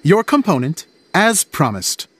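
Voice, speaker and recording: A man playing an earnest, genial theatre director in a video game, with an expressive, theatrical delivery. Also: a little high, measured pace, slight background noise. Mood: warm, nostalgic.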